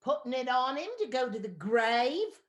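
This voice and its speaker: A woman's voice: sits high, animated, normal speed. A woman playing a brazen, gloating charwoman who scavenges, in a radio play. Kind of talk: stage-style radio play acting.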